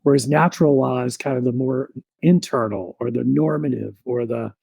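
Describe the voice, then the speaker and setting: Man, thirties, podcast guest, mellow tone, dry close sound, rambling storyteller. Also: mid-range voice, moderate pace, vocal fry, husky, no room sound. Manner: relaxed, reflective, rambling with many fillers.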